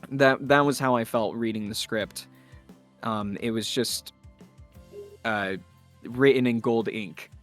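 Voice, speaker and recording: A man talking enthusiastically in an interview as a voice actor, effusive and emphatic. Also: medium pitch.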